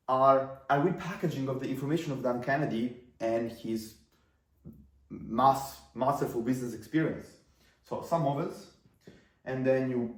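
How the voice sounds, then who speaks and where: A man's voice: medium pitch, darkish tone, distant mic. Young Italian-accented man, roomy and off-mic. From Italy. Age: 20s. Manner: rambling, frequent fillers and restarts. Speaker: marketer. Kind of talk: casual talk to camera.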